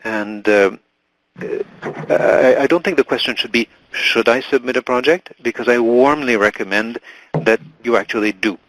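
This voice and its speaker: A man giving simultaneous interpretation in a webinar, halting and full of fillers and restarts. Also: medium pitch, a bit bright, poor audio.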